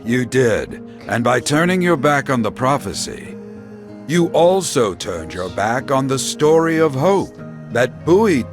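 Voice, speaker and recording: A man playing an enigmatic, knowing guide in a video game, with formal archaic diction and a theatrical, portentous delivery. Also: mid-range voice. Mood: musing, taunting. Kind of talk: dramatic video game cutscene acting. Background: game music.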